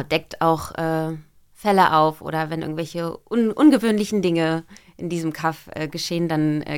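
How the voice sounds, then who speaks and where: A woman's voice: low-pitched, vocal fry, smooth. A woman voice actress talking casually as a podcast guest, chatty and full of small fillers. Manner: chatty, many fillers and restarts. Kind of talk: casual interview chat.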